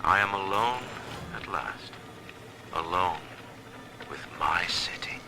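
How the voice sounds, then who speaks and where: A man's voice: deep voice, animated, slow pace, noisy background, exaggerated voice. A man playing a stern, proud middle-aged tycoon who rules his own city in a video game, speaking his audio diaries in pompous, menacing, formal oratory. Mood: cold, brooding. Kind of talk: dramatic recorded diary monologue, formal oratory.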